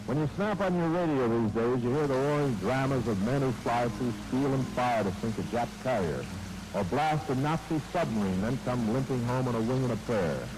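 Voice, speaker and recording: A man opens a wartime radio show with upbeat showman patter in an ordinary mid-range voice. Clear hiss from the old broadcast runs under him. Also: medium pitch, expressive, normal speed. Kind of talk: radio broadcast opening monologue.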